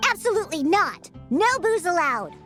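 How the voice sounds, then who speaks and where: A woman voicing a small, chirpy, childlike fairy companion in an anime game, bossy but caring, with an expressive squeaky delivery. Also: moderate pace, music in the background. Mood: indignant. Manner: exclamatory, expressive.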